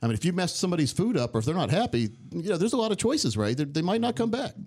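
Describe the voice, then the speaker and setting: A man's voice: high-pitched, very animated, rapid-fire, darkish tone, breathy edge. A man hosting a talk radio show, chatting in a folksy way and often repeating his words. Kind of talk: casual chat.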